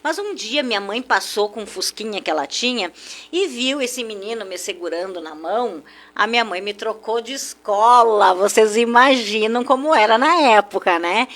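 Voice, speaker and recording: A woman's voice: fairly high pitch, animated, normal speed. Older woman reminiscing. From southern Brazil, German-speaking community. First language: German. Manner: warm reminiscing, frequent "né?" tags. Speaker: guest. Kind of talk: nostalgic radio interview storytelling.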